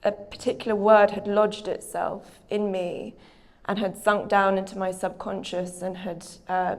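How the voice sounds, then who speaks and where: A young adult British woman, an author, talking reflectively in a stage conversation, pausing often with fillers. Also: mid-range voice. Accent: British English. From Britain. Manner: thoughtful, hesitant, with frequent fillers.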